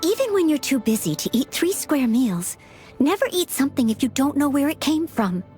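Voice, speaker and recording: Game casting: devoted teenage maid, polite and eager, high lilting voice with lively swings, music underneath. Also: female voice, moderate pace. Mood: earnest, devoted. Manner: polite, earnest, eager to please. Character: earnest, devoted young maid and aspiring knight, teenage girl. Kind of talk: video game character voice lines. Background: background music.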